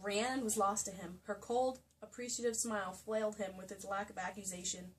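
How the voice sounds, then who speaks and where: A woman's voice: medium pitch, steady tone, brisk. A woman giving a comedic dramatic reading of a novel, mock-theatrical and breaking into casual asides. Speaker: co-host reading a novel aloud. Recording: noisy room, distant microphone.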